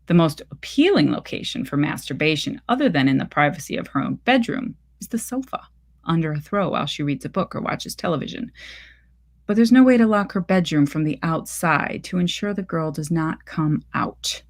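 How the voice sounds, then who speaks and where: A woman reading literary fiction aloud as scripted narration, with measured, deliberate phrasing. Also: deep voice, lively intonation, creaky. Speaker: reader of a short story.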